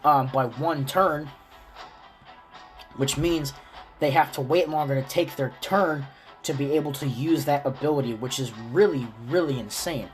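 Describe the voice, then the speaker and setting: A man talks through a game guide in a relaxed, chatty way, his voice a bit stuffy and raspy from a lingering cold. He sits a touch higher than most men in pitch. Faint music plays quietly behind him. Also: a little slow. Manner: explains step by step, relaxed and chatty. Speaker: gaming video creator. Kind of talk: casual game guide commentary.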